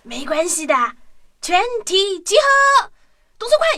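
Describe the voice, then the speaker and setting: A performer playing an eager, excitable little boy in a children's audio drama, with energetic, exclamatory delivery. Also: very high voice, measured pace, heavily acted. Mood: eager, commanding.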